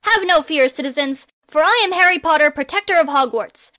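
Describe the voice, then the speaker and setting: A woman's voice: sits high, fairly quick, sharp tone, dry recording, studio quality, pitched above the performer's own voice. A woman voicing a pompous, self-proclaimed boy wizard hero who makes grandiose, bossy proclamations.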